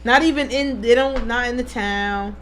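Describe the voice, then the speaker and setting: A woman's voice: fairly high pitch, moderate pace. A woman co-hosting a casual radio interview, asking conversational questions in a studio. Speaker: radio co-host. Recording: noisy studio with background ambience.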